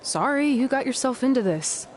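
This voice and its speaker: A woman's voice: high-pitched, lively intonation, normal speed, a little mellow. Woman playing a blunt, exasperated young female wrestler. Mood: matter-of-fact. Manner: blunt, sarcastic, no-nonsense. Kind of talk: scripted dramatic dialogue. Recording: noisy location sound with ambience.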